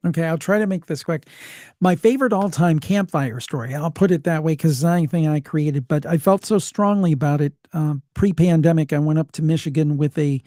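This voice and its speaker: A man with a fairly high, soft-toned voice speaks as a storytelling podcaster. His delivery is conversational and emphatic, recorded with very little room sound. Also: brisk, no echo, clean studio sound. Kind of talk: casual storytelling chat.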